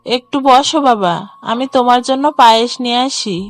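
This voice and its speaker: A woman playing a kindly, hospitable middle-aged mother with a gentle, apologetic manner. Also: fairly high pitch, steady tone, moderate pace, soft background music, lightly acted.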